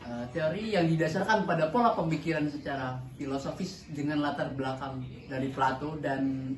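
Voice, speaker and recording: A young man from South Sumatra, presenting a class lesson as a student narrator, reading explanations in an earnest, steady way. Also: medium pitch, roomy sound, poor audio. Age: young adult.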